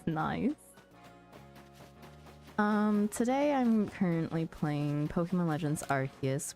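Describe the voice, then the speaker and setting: A woman's voice: low voice, a little mellow. A woman chatting casually on a live game stream as its host, thinking aloud in a friendly, chatty way.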